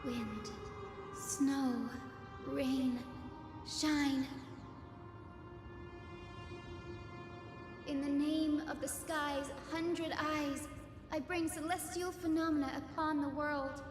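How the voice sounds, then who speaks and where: A woman's voice: sits high, fairly even intonation, slow pace. A woman voicing a learned, composed young priestess in a fantasy video game, formal and articulate. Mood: ceremonial. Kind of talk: scripted video game voice acting. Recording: echoey reverberant hall, music behind.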